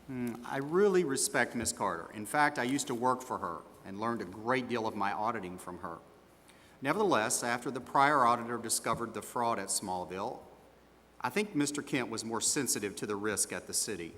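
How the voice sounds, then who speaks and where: A man's voice: medium pitch, moderate pace, fairly bright tone, a little hiss or hum, close to an everyday voice. A man playing a defensive auditor at a hearing in an amateur stage dramatization, speaking formally and a little hesitantly. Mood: measured, defensive. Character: defensive male auditor questioned at disciplinary hearing. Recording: echoey hall, long reverb.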